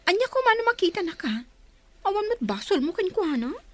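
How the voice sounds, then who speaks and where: A high-pitched, swooping outspoken young friend and office worker. She speaks in expressive radio drama style, close and dry, with faint music behind. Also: a little fast. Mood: exasperated, gossipy. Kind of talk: Ilocano radio drama acting.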